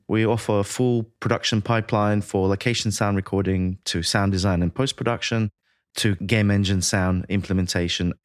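A man from Latvia, a sound designer, giving measured, explanatory answers as an interview guest. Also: fairly low pitch, dry recording, studio quality.

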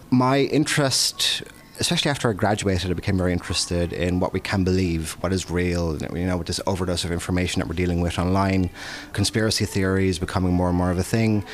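A low-voiced man, an artist in his thirties or forties, talks through his background in an interview. He wanders through his thoughts with plenty of "uh" and "you know," in an easy, conversational way. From Irish and Dutch, based in Amsterdam. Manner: reflective, rambling, with "uh" and "you know".

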